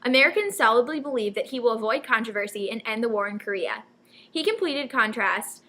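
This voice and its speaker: A woman's voice: on the high side, lively intonation, brisk, bright, forceful tone. A young woman anchoring a scripted newscast, reading out stories as narration. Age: young adult. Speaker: news anchor.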